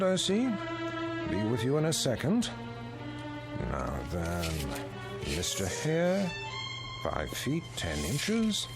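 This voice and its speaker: An actor playing a practical, brisk museum owner in an audio drama, speaking in a reasoning, persuasive and sometimes dismissive way. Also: male voice, medium pitch, heavily acted. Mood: absorbed, jovial.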